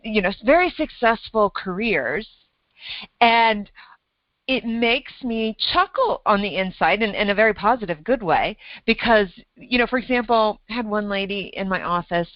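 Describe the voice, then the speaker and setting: A middle-aged woman chatting over a video call. She tells her story in an animated way, laughing often and acting out what she thought. The sound is dry and a little dull. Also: mid-range voice, no echo. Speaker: weight loss coach and host. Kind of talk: casual conversation telling a story.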